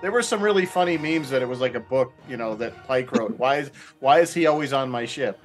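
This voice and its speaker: A man's voice: mid-range voice, lively intonation, fairly quick, soft background music. A man chatting casually as a guest on a discussion panel. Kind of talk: casual chat.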